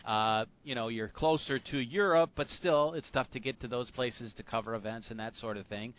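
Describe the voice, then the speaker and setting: A man's voice: mid-range voice, normal speed. A man hosting an interview, asking questions conversationally and building up his points. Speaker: interview host. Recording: slightly muffled sound.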